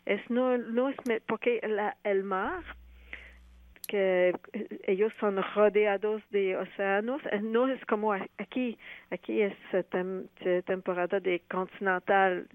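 A woman, a playwright and actress speaking Spanish as a second language, answering interview questions haltingly with many restarts. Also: medium pitch, fairly even intonation, no room sound. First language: not Spanish. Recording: tinny phone line.

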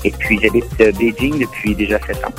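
A man in his thirties from Montreal with a Québécois accent, answering interview questions in a halting way full of fillers and repeated words. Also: lowish voice, steady tone, music in the background. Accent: Québécois French. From Quebec, Montreal. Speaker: guest, Canadian expatriate.

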